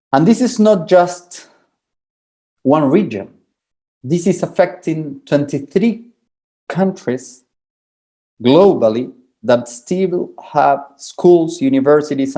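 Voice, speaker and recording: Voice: mid-range voice, dark tone. A speaker from Argentina gives an academic presentation, often restarting and repeating words while explaining with examples. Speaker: forum speaker.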